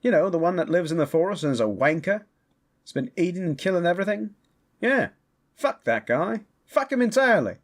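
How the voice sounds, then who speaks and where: A man voicing an excitable, foul-mouthed fantasy creature in an audio drama, chatty and crude with emphatic delivery. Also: high voice, very slow, long pauses, full character voice. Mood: animated disgust.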